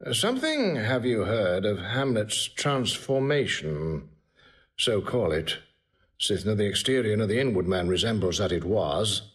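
Regal king, lowish voice with big swings, formal shakespeare radio play. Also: big pitch swings, measured pace. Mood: gracious, measured. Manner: stately, formal. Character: stately, smooth-talking king welcoming his courtiers. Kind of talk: classical Shakespearean audio drama acting.

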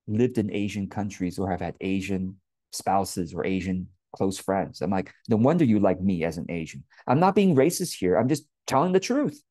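A man's voice: medium pitch, warm tone, no echo. A man in his late forties with a Californian accent, giving casual, conversational advice as a coach and talking directly to the listener with informal emphasis. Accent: Californian American. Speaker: business coach. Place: small indoor room at home. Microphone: wired earphone headset on a webcam.

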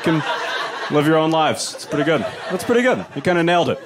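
A young man performing a stand-up comedy set on a club stage, wry and animated, with laughter from the audience. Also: quick pace, a crowd in the background, noisy background. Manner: wry, animated, jumps into high-pitched voices for quoted people.

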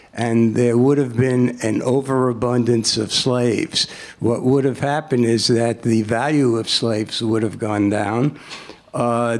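An older man giving a halting, explanatory answer in a panel discussion. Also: medium pitch.

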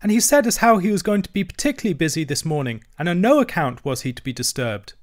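A man's voice: high voice, very animated, quick pace, pitched above the performer's own voice. A man voicing a young hedgehog in a storybook, respectful and earnest, with humble rustic speech. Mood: dutiful. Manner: deferential, rustic ungrammatical speech.

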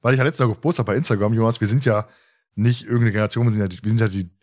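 A man's voice: fairly low pitch, very fast, a little mellow. A man in his thirties from Germany co-hosting a podcast in casual, colloquial, teasing chat. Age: 30s. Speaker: podcast host.

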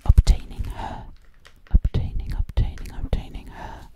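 Creepy obsessed witch played by a woman whispering real slow and breathy right up close, asmr roleplay, keeps repeating words. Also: natural voice. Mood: obsessive. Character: sinister, obsessive female witch hunting for victims. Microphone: close microphone.

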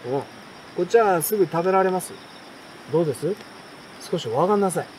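A man's voice: sits high, animated, quick pace, darkish tone, poor audio. Man playing a folksy, chatty bird catcher. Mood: proud, inviting. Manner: colloquial, explaining, self-assured. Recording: outdoor night crickets and campfire noise.

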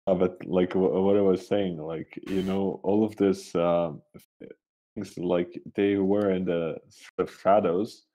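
A man from Switzerland co-hosting a podcast in casual, unscripted chat, halting as he searches for words. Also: deep voice, fairly even intonation, mellow. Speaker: podcast co-host.

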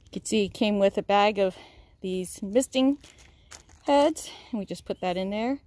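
A woman hosting a casual how-to walkthrough outdoors in her backyard, filming on a handheld phone, upbeat and chatty, with fillers and pauses. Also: mid-range voice, darkish tone. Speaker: channel host. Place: outdoors in a backyard chicken run.

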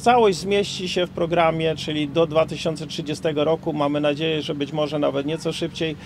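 A man's voice: on the high side, little pitch movement, normal speed. A young man, a road agency official, giving a precise, bureaucratic briefing into press microphones in an outdoor square. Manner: bureaucratic, precise, some fillers. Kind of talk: formal technical briefing. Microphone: cluster of press microphones on stands. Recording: light outdoor traffic noise.

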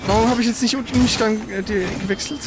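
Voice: medium pitch, fairly even intonation, fast, music in the background. A player in a casual let's play, teasing and bossing a partner around with blunt, slangy exclamations. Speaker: gaming video host, co-op player.

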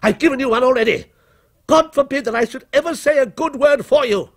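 Cornered male writer, voiced by a male narrator: storybook victim, agitated and desperate, highish creaky voice. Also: normal speed. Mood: defiant outrage. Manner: stammering, agitated. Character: frightened male writer, desperate, finally defiant.

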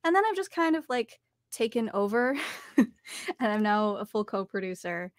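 A woman's voice: on the high side, very animated, moderate pace, no echo, clean studio sound. A young woman talking casually as an interview guest about her voice acting work. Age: young adult. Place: small home recording studio, indoors.

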